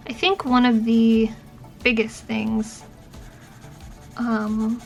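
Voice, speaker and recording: A woman's voice: on the high side, flat delivery, extremely slow, gravelly, faint music behind. A young adult woman talking casually to camera, chatty and full of fillers and restarts. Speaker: vlogger and professor. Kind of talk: casual chat.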